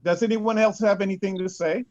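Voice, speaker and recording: A woman's voice: medium pitch, fairly even intonation, a little fast, a little mellow. A woman informally moderating a group video call. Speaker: event moderator. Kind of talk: informal hosting. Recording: dull video-call audio.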